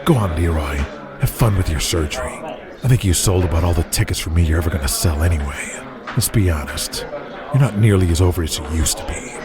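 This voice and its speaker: A ruthless male wrestling boss in an audio drama. His voice sits low and he talks with sarcastic, domineering contempt over loud music. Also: lively intonation, brisk. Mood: cold, cutting.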